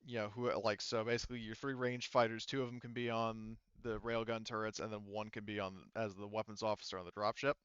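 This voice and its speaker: A man's voice: on the low side, little pitch movement, fairly quick, hardly any pauses, a bit bright, clean tone, no echo, dull audio. A man explaining tabletop game rules as game master, casually rambling and thinking aloud.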